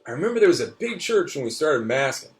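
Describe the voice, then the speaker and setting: A man voicing an excited little boy at Mardi Gras, eager and wide-eyed, hollering in a singsong way. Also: a little high, quick pace, recorded from a distance, voice pushed up. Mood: wondering.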